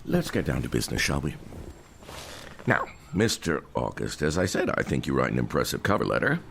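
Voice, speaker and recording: An actor playing a stately, skeptical older theater owner in an audio drama, formal and patronizing. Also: male voice, very deep voice, sing-song, a little fast, gravelly, fairly bright tone, a little hiss or hum, exaggerated voice. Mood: businesslike, skeptical.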